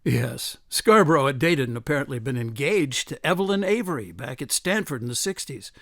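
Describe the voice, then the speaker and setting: A man's voice: medium pitch, expressive, a little fast, vocal fry, fairly bright tone, no echo, studio quality. An actor playing an earnest, articulate male attorney turned author in a radio drama. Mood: explanatory.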